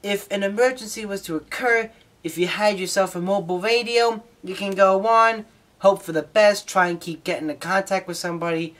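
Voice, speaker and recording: A man's voice: on the high side, fairly bright tone. A man giving a casual, unscripted monologue as a hobbyist host, informal and opinionated in his way of talking. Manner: informal, opinionated, mildly swearing. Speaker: shortwave radio hobbyist host.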